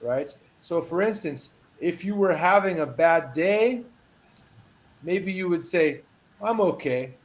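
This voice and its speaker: A man's voice: sits high, slow. Patient man tutoring English. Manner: patient, encouraging, reads model phrases with lively emphasis. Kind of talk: online one-on-one English lesson.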